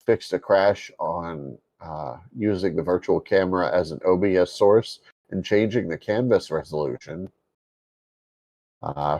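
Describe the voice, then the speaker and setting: A man with a bassy voice co-hosting a casual tech podcast, amused and quick to laugh. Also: a little mellow, no room sound. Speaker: podcast co-host.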